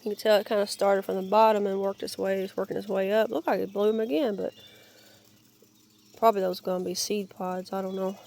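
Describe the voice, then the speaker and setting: Woman, hoarse and crackly from a cold, flat mellow delivery, halting outdoor phone walk-and-talk, birds in background. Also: mid-range voice. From Georgia, US. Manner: halting, searching for words, many restarts. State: raspy, crackly voice while getting over a cold. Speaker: gardener giving a yard tour. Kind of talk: casual rambling walk-and-talk. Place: outdoors in a wooded yard. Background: birds and outdoor ambience.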